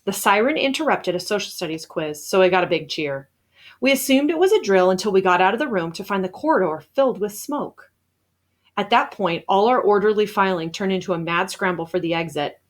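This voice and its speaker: A woman reading a children's novel aloud as scripted narration, with expressive storytelling emphasis. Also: mid-range voice, a little fast. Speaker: storybook reader.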